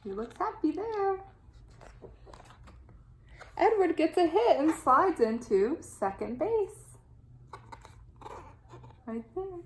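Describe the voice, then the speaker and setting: A woman teacher reading a children's storybook aloud in an expressive, animated way with deliberate phrasing. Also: very high voice, slight background noise. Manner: animated, deliberate phrasing with dramatic sound-effect words. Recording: echoey room sound.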